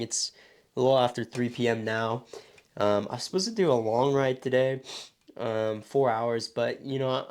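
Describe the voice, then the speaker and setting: A young man chatting casually in a vlog about his training, his voice hoarse and throaty from a cold, rambling with many fillers. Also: medium pitch, darkish tone. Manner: loose and rambling, many ums and restarts. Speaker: vlogger training for a triathlon.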